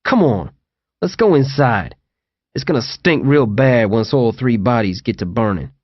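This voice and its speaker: A man voicing a tough male quarantine inmate who stays calm and talks in a measured, lecturing way. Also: mid-range voice, no echo. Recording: dull lo-fi audio.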